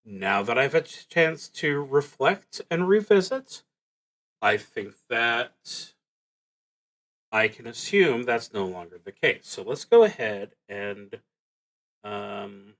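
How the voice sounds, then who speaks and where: Slow-paced man thinking aloud through a game. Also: medium pitch, very animated, fairly bright tone. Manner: halting, reflective, working through moves aloud. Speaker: streamer playing a solo tabletop roleplaying game. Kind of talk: live solo game stream, thinking aloud.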